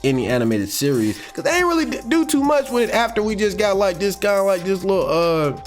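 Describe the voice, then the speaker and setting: An American man giving casual, unscripted fan commentary in a slangy, rambling, excitable way, like an online video creator. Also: high-pitched, a little fast. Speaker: YouTube commentator on comics and animation. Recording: music playing behind the voice. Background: background music.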